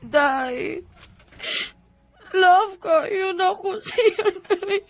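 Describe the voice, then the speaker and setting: A woman's voice: extremely high pitch, expressive, drawn-out words, soft background music, exaggerated voice. A woman with a Cebuano Filipino accent playing a heartbroken, lovesick young woman in a radio drama.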